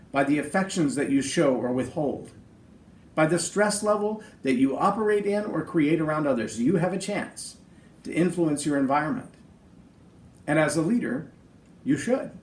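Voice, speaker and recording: Middle-aged male podcast host, big high-set voice, earnest and emphatic, roomy echo. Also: darkish tone. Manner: earnest, emphatic, speaks in lists. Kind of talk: motivational leadership talk.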